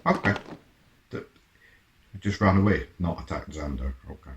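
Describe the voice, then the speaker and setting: A man's voice: rumbling low, normal speed. A man hosting a reaction video with casual running commentary, musing aloud in terse remarks with the odd swear word.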